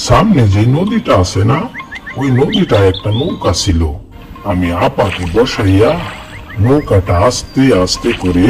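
A man voicing an earnest young village boy, narrating a cartoon in the first person with a lively, repetitive telling. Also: mid-range voice, creaky. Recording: cartoon voice-over with loud music. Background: background music.

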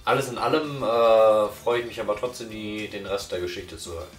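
Tiefer Mann, entfernt und hallig. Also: male voice, on the low side, steady tone, brisk, echoey room, recorded from a distance, faint music behind. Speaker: reviewer. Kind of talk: casual review chat. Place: small living room, on a sofa. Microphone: far camera.

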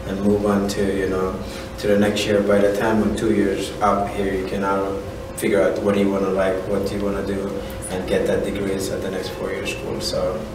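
Male soccer coach, thirties, native Spanish speaker with Central American accent, halting and casual, very echoey room, distant from mic. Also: deep voice, completely flat delivery, noisy background. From Honduras. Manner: halting, repeats "you know". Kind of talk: casual interview answers. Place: indoor room at a college.

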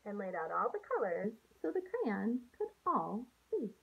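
A woman in her thirties, a teacher reading aloud to young children with an expressive, sing-song delivery, recorded on a handheld-distance device at home. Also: medium pitch, unhurried, vocal fry, breathy edge, phone-quality sound. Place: small room at home, indoors. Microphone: phone or laptop at arm's length.

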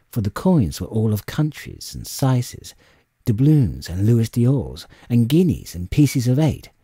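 A man narrating an adventure audiobook in an expressive, measured storytelling manner. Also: fairly low pitch, husky, no room sound, studio quality. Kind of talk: dramatic scripted storybook narration.

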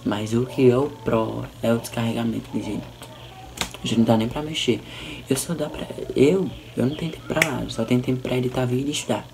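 A young man, a little hoarse, chatting playfully to camera in a small room. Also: medium pitch, background noise. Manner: chatty, playful, teasing asides. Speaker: vlogger. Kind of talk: casual commentary on soap opera clips. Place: small indoor room by a curtain.

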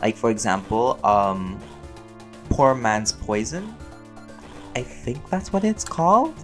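A man's voice: mid-range voice, fairly bright tone. A man giving a casual, rambling, playful Q&A as a voice actor, chatty and thinking aloud. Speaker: voice actor and audio creator answering fan questions. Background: music under the voice.

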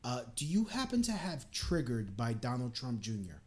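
A man's voice: medium pitch, huge pitch swings, moderate pace, a little mellow. A man hosting a live prank call show in casual chat, often putting on earnest politeness.

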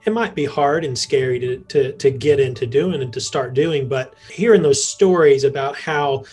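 A man's voice: medium pitch, normal speed, darkish tone, breathy edge, faint music behind. A young man hosting an interview, affirming and joking, on a video call from an office. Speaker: host. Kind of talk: casual interview chat.

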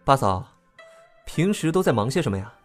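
Man acting an awkward, lively young prince. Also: medium pitch, brisk, faint music behind, lightly acted. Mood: awkward, bemused. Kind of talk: audio drama acting.

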